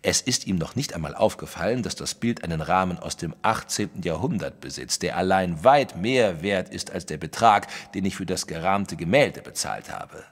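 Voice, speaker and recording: An actor playing a proud, stubborn gentleman art collector, huffy and emphatic, in a German audio drama. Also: sits low, lively intonation, normal speed, hardly any pauses, in a large hall. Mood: smug. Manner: huffy, emphatic, self-satisfied.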